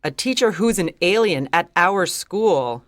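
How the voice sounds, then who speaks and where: Woman voicing excitable schoolgirl Barbara. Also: lowish voice, unhurried, no echo, studio quality. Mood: worried. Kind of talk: audiobook reading.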